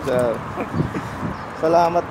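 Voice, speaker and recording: Filipino man, vlogger, unhurried, code-switching; noisy street recording. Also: fairly high pitch, fairly even intonation. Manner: mixes Tagalog, English and Spanish, relieved and chatty. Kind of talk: casual vlog chat. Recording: noisy street ambience with traffic.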